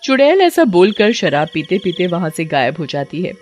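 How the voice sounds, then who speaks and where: A woman's voice: lowish voice, very animated, normal speed, loud music behind the voice. A woman giving scripted narration of a story as its narrator.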